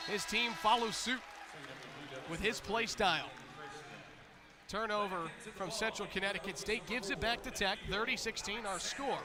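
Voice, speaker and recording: High-pitched American man calling live basketball. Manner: energetic, building to excited calls on big plays. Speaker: play-by-play sports commentator. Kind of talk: live basketball play-by-play commentary.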